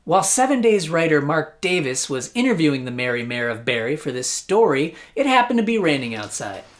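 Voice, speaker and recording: Lively, sarcastic American man. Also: on the high side, a little fast. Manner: sarcastic, mock-incredulous. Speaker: host of a satirical news commentary show. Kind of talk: scripted comedic news commentary.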